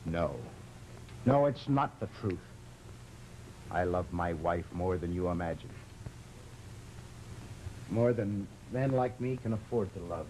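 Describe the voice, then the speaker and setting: A man's voice: deep voice, animated, slow, long pauses, mellow. A man playing a desperate, devoted, downtrodden husband in an old-time radio drama, speaking earnestly and pleadingly. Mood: anguished. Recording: noisy vintage radio recording.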